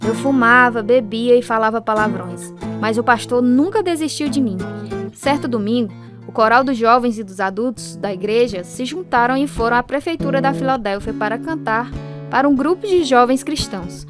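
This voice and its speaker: A woman's voice: fairly high pitch, moderate pace, over music, natural voice. A woman playing a woman recounting her youth in scripted radio drama narration. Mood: reflective. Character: woman recounting her troubled youth, also as earnest teenager.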